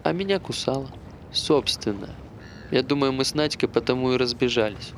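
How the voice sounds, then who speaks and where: A man's voice: mid-range voice, normal speed, a little hiss or hum, lightly acted. Actor as grumbling, bitter man. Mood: matter-of-fact. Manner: grumbling, conversational. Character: bitter, aggrieved man who hates dogs. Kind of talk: naturalistic stage play dialogue.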